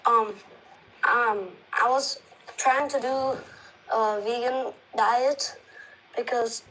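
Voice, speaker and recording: Girl calling into a livestream, highish voice, short halting answers, bit of background noise. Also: steady tone, a bit bright. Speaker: livestream caller. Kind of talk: casual live chat, short answers. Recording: noisy live stream call.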